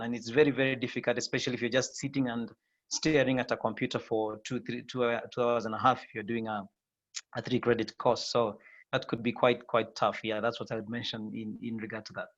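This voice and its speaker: Raspy flu-voiced guy lecturing on a panel, lots of uhs, kinda muffled lo-fi sound. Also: medium pitch, a bit bright. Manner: hesitant, many fillers and restarts. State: hoarse from a flu. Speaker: lecturer and TV host. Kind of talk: panel discussion answer.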